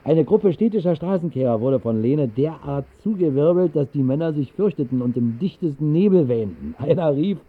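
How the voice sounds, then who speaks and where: An actor narrating a radio drama as a wistful storyteller, measured and deadpan in a literary style. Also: male voice, a little high, husky. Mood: deadpan storytelling. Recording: muffled band-limited old radio recording.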